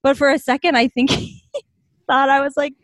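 A woman's voice: high-pitched, little pitch movement, brisk, dry recording, clean studio sound. A young adult woman, a guest, telling stories in a casual interview, laughing often as she talks. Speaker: founder of a hospitality home, guest.

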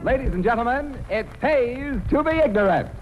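A man's voice: very high-pitched, lively intonation, moderate pace. A man announcing a 1940s radio show on an old recording. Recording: muffled old radio recording, loud music. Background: loud music.

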